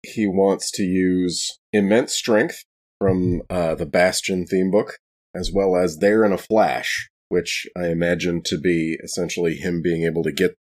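A man with a deep voice talks casually at the game table. He is a player, explaining his character's moves and choices in a role-playing game. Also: fairly even intonation, measured pace, a little mellow.

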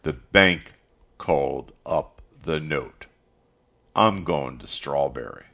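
Man acting proud farm father, very low voice, curt, firm, slow delivery, storybook drama. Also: animated, creaky, a bit bright, heavily acted. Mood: tense, angry. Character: stern, proud Southern farmer father, determined.

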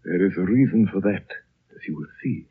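Ancient gentle lama, deep and breathy, learned and courteous, vintage narrow-band radio drama. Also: male voice, lively intonation, heavily acted. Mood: measured, scholarly. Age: older. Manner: slow, courteous, measured storytelling. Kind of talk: 1930s radio drama acting. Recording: muffled, narrow-band old radio broadcast.

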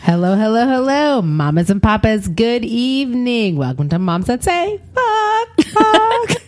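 A woman's voice: fairly high pitch, very animated, unhurried, no echo, faint music behind. A woman hosting a podcast in a bubbly, playful way, with sing-song greetings and casual swearing. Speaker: podcast host and founder.

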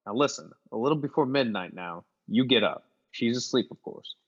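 A man's voice: medium pitch, lively intonation, normal speed, slightly rough, dull audio. A man playing a tough, commanding gangster in a radio play, giving clipped, slangy orders. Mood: brisk, commanding. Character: brisk, commanding tough gangster plotting a job. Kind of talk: classic radio gangster acting.

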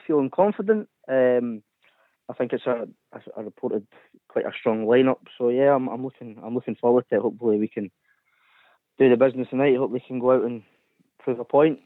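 A man's voice: medium pitch, no room sound. Hesitant male caller on a phone line. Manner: hesitant, filler-heavy. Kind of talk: phone-in chat.

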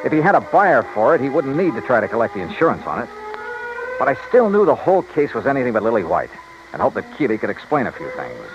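An actor playing a hard-boiled, sardonic American insurance investigator in a 1950s radio detective drama, with a clipped, pressing delivery. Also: male voice, mid-range voice, huge pitch swings, quick pace, over music. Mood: tense narrating. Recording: muffled, noisy old radio recording.

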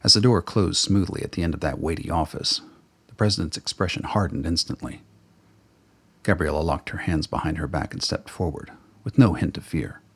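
Gravelly, very deep-voiced male narrator, husky, measured. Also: fairly bright tone. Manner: measured, storytelling. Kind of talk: dramatic audio-drama narration.

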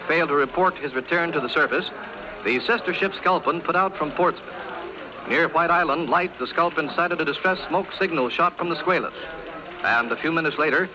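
Muffled old-time American radio newsman. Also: fairly high pitch. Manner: formal, clearly projected. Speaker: network radio news reporter. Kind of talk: 1930s live radio news report. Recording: muffled 1930s radio recording, busy background.

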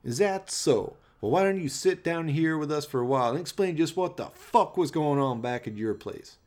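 A man's voice: a little high, expressive, normal speed, a little mellow, voice pushed up, natural voice. A man voicing a swaggering, foul-mouthed gang leader with a leering, bossy, folksy drawl in a radio play. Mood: bullying.

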